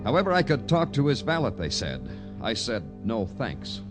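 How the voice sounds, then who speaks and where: A man's voice: mid-range voice, huge pitch swings, moderate pace, over loud music. A man playing a sardonic, world-weary police detective in a 1950s radio noir drama, with clipped, dry delivery. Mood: wry narration. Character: hard-boiled, sardonic male Broadway police detective. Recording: old radio drama broadcast.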